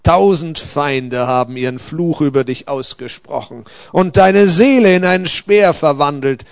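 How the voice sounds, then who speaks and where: Man playing a solemn, admonishing angel, slow and high. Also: vocal fry, no room sound. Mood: stern, accusing. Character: Gabriel, stern but gentle angel. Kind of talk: dramatic story reading.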